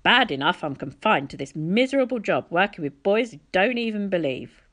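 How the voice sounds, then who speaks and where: Woman acting out petulant middle-aged male god, storybook character, whiny, pouting, theatrical, very animated pitch. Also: normal speed. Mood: grumbling.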